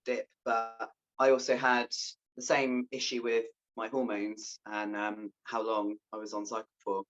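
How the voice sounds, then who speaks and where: A woman's voice: a bass voice, monotone, a little slow, highs cut off, faint music behind. A woman co-hosting a podcast in casual chat, offhand and self-deprecating. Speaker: podcast co-host.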